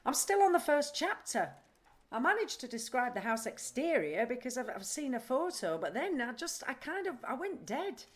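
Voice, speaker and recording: An actress playing an impulsive, scattered and stubborn woman in an audio drama, deadpan and earnest. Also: sits high, moderate pace. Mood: defensive. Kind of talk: naturalistic audio drama acting.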